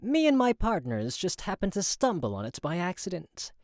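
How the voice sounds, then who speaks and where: A woman's voice: on the low side, wildly swooping pitch, normal speed, a little mellow, no echo, studio quality. A woman voicing a self-assured, genial male inventor in a visual novel game. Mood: smug, genial.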